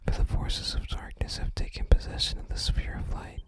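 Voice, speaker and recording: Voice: a little fast, close to an everyday voice. A person whispering the part of a gloomy, reclusive shrine sage from a fantasy game, in solemn, formal, archaic phrasing. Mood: solemn, resigned.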